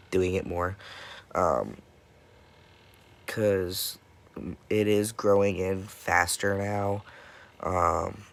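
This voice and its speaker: A young man talks slowly in a somewhat low voice, stuffy and congested as he comes down with a cold. He sounds worn out and drifts through his update haltingly, with many pauses and filler words. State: dead tired. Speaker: vlogger. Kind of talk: casual personal update.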